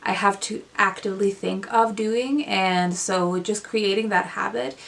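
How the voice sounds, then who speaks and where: Gravelly Swedish-born woman vlogging books. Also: medium pitch, steady tone, unhurried, far from the mic. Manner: thoughtful, with frequent ums and self-corrections. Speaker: book vlogger. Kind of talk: casual reflective vlog. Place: indoors, small room with bookshelves.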